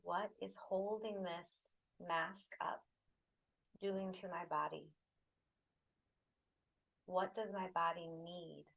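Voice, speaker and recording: A woman leading a guided meditation, giving soothing, unhurried instructions in short phrases with pauses between them. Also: fairly low pitch, steady tone, creaky, fairly bright tone, poor audio.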